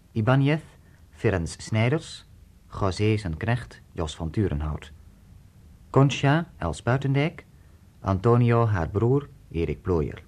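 A man reads scripted credits as a radio drama announcer. His voice is on the low side. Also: darkish tone.

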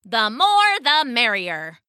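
A plucky little male duck, voiced by a woman storyteller, bright and high. He is polite, eager and determined, with a sing-song quacking delivery that swings up and down. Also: sing-song, takes it slowly, smooth, no echo, clean studio sound, exaggerated voice. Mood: cheerful, determined. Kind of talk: children's storybook character voice.

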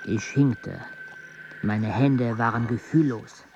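A man's voice: medium pitch, takes it slowly, noisy background. An actor playing a dry, sardonic male botanist narrating an expedition in a radio drama. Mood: measured narration. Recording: dull sound over loud outdoor ambience.

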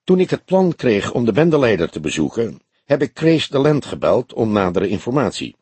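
A man's voice: medium pitch, very animated, normal speed, darkish tone, husky, no room sound. A man voicing a grizzled older police detective in an audiobook, grumbling, scornful and blunt. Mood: dry.

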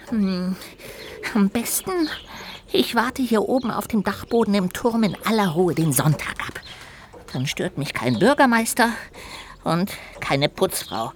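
An actress playing a small, childlike, chatty little ghost in a children's audio drama, exclaiming and musing to itself expressively. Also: female voice, medium pitch, a little hiss or hum, lightly acted. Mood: annoyed.

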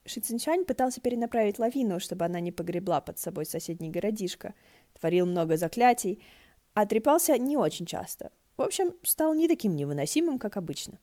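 Женщина выразительно читает художественную прозу как рассказчица аудиокниги. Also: female voice, medium pitch, expressive, a little fast, dark tone. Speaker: audiobook narrator. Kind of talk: scripted fiction narration.

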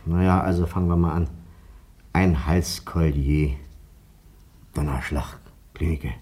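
A very deep, dark-voiced inspector in a radio drama. He is husky and gruff yet genial, chatting offhandedly like an official who has seen it all. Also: steady tone, measured pace, heavily acted. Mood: impressed. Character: easygoing, gruff Berlin court inspector, impressed but unworried. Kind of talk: radio play acting.

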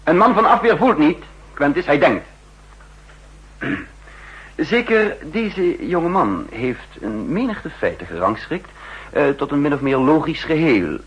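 An actor playing a cool, calculating spy chief who narrates in the first person, in a 1960s radio drama. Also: male voice, on the high side, lively intonation, slightly rough, highs cut off. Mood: sardonic. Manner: measured, sardonic. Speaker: narrator and lead.

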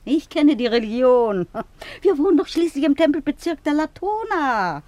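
An actress in a German radio play, playing the scheming, bossy wife of a poor donkey driver, insistent and worldly-wise. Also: female voice, high voice, very animated, moderate pace, darkish tone, no echo. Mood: impatient, persuasive. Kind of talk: German radio play acting, dialogue with asides.

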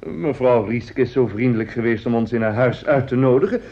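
A man's voice: mid-range voice, a little fast, close to an everyday voice. An actor playing a courteous, eager civic committee chairman in a Dutch radio play, formal and conciliatory in manner. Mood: polite, eager. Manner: formal, conciliatory, hesitant under challenge. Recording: dull old radio recording.

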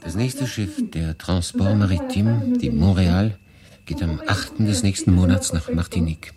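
A man's voice: sits low, very animated, moderate pace, over a noisy crowd. An actor playing a melancholy, detached male refugee who narrates his story in a pensive, understated radio drama style. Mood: sober. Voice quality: husky.

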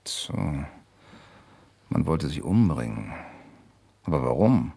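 An actor playing a guarded, skeptical crime writer in a radio crime drama, terse and questioning on the telephone. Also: male voice, very deep voice, very animated, slow, gravelly, mellow, slightly rough, heavily acted. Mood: wary, puzzled. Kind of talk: 1980 German radio crime drama acting.

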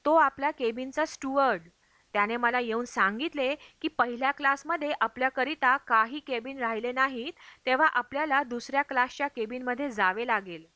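A woman's voice: fairly high pitch, pushed and bright, no echo. A woman reading a travel memoir aloud as scripted audiobook narration. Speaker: audiobook reader.

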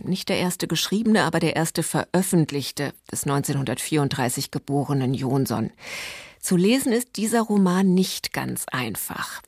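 A woman's voice: sits low, darkish tone, dry recording, clean studio sound. A woman hosting a radio literature programme, reading a scripted introduction to a book.

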